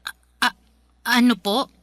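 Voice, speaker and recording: A woman's voice: slow, a bit bright, no echo. A woman playing a dutiful, apologetic teenage Filipino schoolgirl in a radio drama, speaking politely and hesitantly. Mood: nervous, apologetic.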